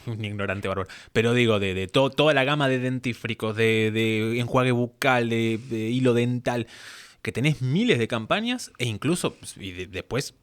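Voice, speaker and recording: A man's voice: medium pitch, lively intonation, moderate pace, clear, resonant tone. A man with an Argentine Spanish accent hosting a casual interview in meandering, hesitant phrases. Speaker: interview host.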